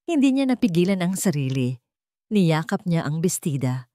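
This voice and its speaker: A woman's voice: lowish voice, big pitch swings, normal speed, dark tone. A woman storyteller reading a scripted romance story in a dramatic narrating style.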